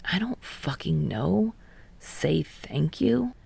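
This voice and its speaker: A woman's voice: sits low, big pitch swings, takes it slowly, gravelly, darkish tone, slightly rough, full character voice. A woman voicing a sarcastic, defensive teenage boy with a dry, drawling, snappish delivery in a story reading. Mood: evasive.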